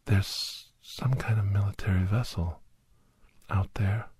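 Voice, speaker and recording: A man narrating a suspenseful first-person ASMR story in a hushed, half-whispered voice with dramatic pauses. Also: on the low side, fairly even intonation, husky. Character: doctor recounting a monster-hunting adventure, grave and awed.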